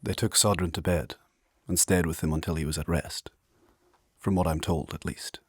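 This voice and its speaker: A man playing a grave narrator in a dark fantasy audio drama, telling the tale in a husky voice. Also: sits low, steady tone, normal speed, dry recording. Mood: solemn.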